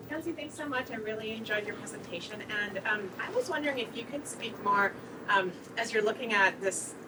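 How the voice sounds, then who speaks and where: A woman in the audience puts a polite, encouraging question to the presenter. She is picked up from across the room, with clear background noise around her voice. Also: on the high side, fairly quick. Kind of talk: asking a question. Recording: distant room mic with noise.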